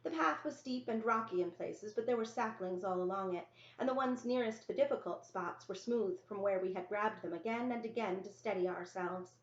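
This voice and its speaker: A woman's voice: mid-range voice, normal speed, hardly any pauses. Female story reader, mid-range voice, first-person children's narration, echoey indoor recording. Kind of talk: scripted first-person narration, children's novel read-aloud. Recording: roomy, echoey, dull lo-fi sound.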